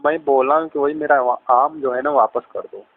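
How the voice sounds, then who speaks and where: A man's voice: medium pitch, fairly even intonation, normal speed, dry recording. Young man on a tinny phone line. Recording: tinny, band-limited phone call recording.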